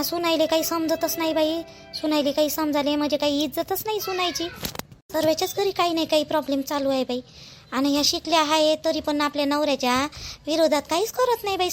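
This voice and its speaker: Super high voiced village wife done by a woman, cartoon gossip, vidarbha marathi accent, complaining and repeating herself. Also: natural voice. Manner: chatty, complaining, emphatic with repeated phrases. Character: aggrieved, talkative village wife and daughter-in-law. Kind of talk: colloquial cartoon gossip dialogue.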